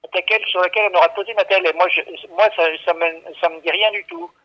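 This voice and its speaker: A man's voice: high-pitched, steady tone, quick pace. A man working at a vet clinic on a phone call, hesitant and stammering, repeating himself as he patiently explains. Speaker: veterinary clinic staff taking a call. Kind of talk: unscripted phone conversation. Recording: dull, lo-fi phone line.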